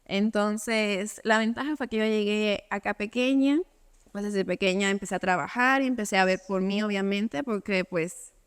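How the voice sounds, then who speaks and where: A woman's voice: mid-range voice, moderate pace, smooth. A young woman from Cuba, talking casually as an interview guest and padding her speech with fillers. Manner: conversational, many fillers like "o sea" and "pues".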